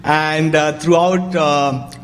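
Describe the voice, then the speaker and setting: A man's voice: a little high, steady tone, slow pace, in a large hall, soft background music. A man speaking respectfully as an audience member at a spiritual gathering. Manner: respectful, formal greeting. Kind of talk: public greeting at a spiritual gathering.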